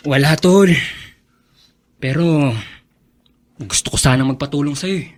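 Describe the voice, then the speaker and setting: A man acting a caring, earnest young college son who is quietly hurt, in a Filipino radio drama with a Filipino accent. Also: a little high, a little slow, natural voice. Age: young adult.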